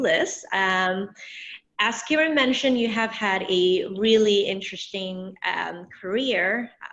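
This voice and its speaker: A woman moderating an online interview, talking hesitantly with many pauses and restarts. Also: mid-range voice, fairly bright tone. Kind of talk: interview moderation on a video call. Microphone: video call. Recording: dull lo-fi video call audio.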